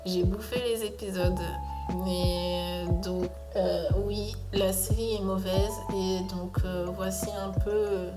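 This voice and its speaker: A young woman talks through her review in a relaxed, unscripted way, stumbling and restarting often, her pitch sitting right in the middle. Loud background music runs under her voice. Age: young adult. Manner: hesitant, many filler sounds and restarts. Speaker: video essayist reviewing a cartoon. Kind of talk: casual spoken review commentary. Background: music playing.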